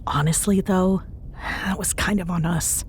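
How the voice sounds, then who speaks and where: Low-voiced teasing young woman, acted, over rumble. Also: steady tone, measured pace, close to an everyday voice. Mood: wry, planning. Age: young adult. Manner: sarcastic, bantering, casual with sighs and murmurs. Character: teasing tsundere young woman ghost hunter, bossy but fond. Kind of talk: ASMR audio roleplay, intimate one-sided chat. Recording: clear background noise with low rumble.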